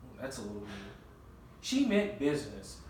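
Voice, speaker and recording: A man's voice: medium pitch, big pitch swings, moderate pace, darkish tone. A man reading a children's story aloud interactively, narrating and prompting the listener with questions. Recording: very echoey room, distant mic, faint noise.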